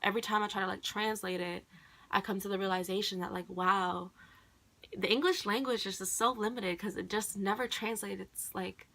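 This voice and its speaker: Young woman, native Khmer speaker, bright voice, loose rambling vlog delivery. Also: mid-range voice, fairly even intonation, normal speed, vocal fry. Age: young adult. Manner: rambling, heavy on "like" and "um". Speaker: college student vlogger. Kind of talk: casual rambling monologue.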